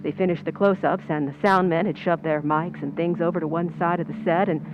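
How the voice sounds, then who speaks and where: A woman's voice: lowish voice, steady tone, brisk, narrow, tinny audio, noisy background, natural voice. A woman playing a brassy, plain-spoken film stand-in who schemes and confides in an old-time radio drama. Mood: confiding, tense. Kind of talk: old-time radio drama recreation, dialogue and confessional narration.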